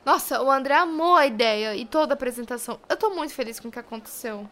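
Woman playing bubbly young professional, Brazilian, high-pitched, bright, self-questioning, audio drama, faint background noise. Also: lively intonation, quick pace. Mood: excited. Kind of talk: audio drama dialogue and monologue.

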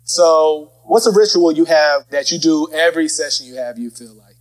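A man's voice: on the high side, moderate pace, low-quality recording. A man hosting a casual interview, speaking informally and giving encouraging advice. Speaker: podcast host interviewing a guest.

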